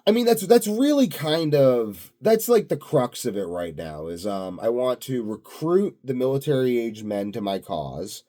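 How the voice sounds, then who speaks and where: A man's voice: medium pitch, sing-song, measured pace, few pauses, warm tone. A self-assured, blunt man rambling unscripted to his viewers in a grandiose solo monologue. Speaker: solo video host.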